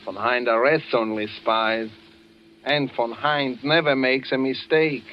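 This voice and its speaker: Man acting a jovial official, slyly menacing, unhurried and chuckling, 1940s radio drama, muffled noisy recording. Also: mid-range voice, steady tone, close to an everyday voice. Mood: slyly ominous. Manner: chuckling, unhurried, tags questions with eh and no. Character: jovial, food-loving, slyly menacing German official Herr Müller.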